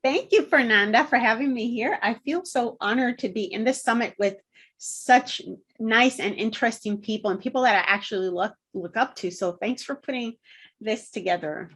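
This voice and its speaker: A woman's voice: a little high, moderate pace, fairly bright tone, husky. A woman in her forties from Puerto Rico, a guest explaining her work in a friendly way with frequent ums. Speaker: guest, content marketing consultant. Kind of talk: interview answers.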